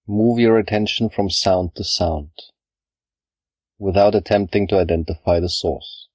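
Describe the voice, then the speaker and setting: A man leading a scripted guided meditation, speaking in a calm, measured, instructional way with pauses between phrases. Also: low voice, dry recording. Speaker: yoga nidra guide.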